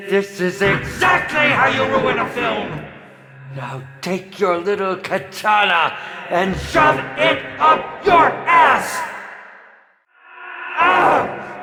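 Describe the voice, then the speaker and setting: Shrill, theatrical villain film director. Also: male voice, heavily acted. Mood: furious, shrieking. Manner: theatrical, sneering, drawn-out mock politeness bursting into shrieks. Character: flamboyant, sadistic male film director villain with a bloodthirsty temper. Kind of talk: campy video game villain voice lines. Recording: echoing hall with long reverb.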